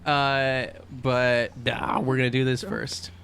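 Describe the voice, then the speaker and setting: A man's voice: mid-range voice, flat delivery, takes it slowly, vocal fry, fairly bright tone. A man playing a tabletop role-playing game, bantering in a joking, informal way. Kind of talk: casual banter during game play. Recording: faint hum and background noise.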